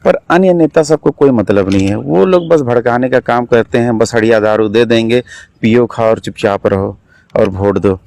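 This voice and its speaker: A man's voice: medium pitch, normal speed, gravelly, a little mellow. Young man with a Jharkhand Hindi accent. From Jharkhand, India. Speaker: election candidate, political activist. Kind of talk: political interview answers.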